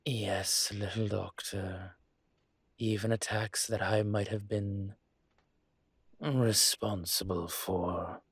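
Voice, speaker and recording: A man voicing a calm, courteous dragon mafia boss in a roleplay audio, speaking in a husky, measured, polite way. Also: fairly low pitch, no room sound, full character voice. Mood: calm, assured. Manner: measured, polite, deliberate with pauses. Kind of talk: scripted roleplay audio monologue to listener.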